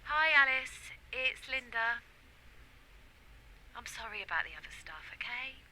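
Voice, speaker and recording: Bright, earnest Englishwoman on voicemail. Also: a little high, animated, measured pace, full character voice. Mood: apologetic, pleading. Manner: chatty, emotional, pleading. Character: earnest woman campaigner reaching out to estranged friend. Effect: telephone voicemail. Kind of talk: audio drama acting, voicemail message. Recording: noisy answering-machine line.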